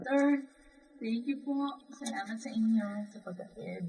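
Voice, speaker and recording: A woman's voice: fairly high pitch, a little slow, mellow, breathy edge, poor audio. An actress playing an earnest, self-sacrificing Filipino working wife and mother in a radio drama, speaking politely and deferentially. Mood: polite, eager.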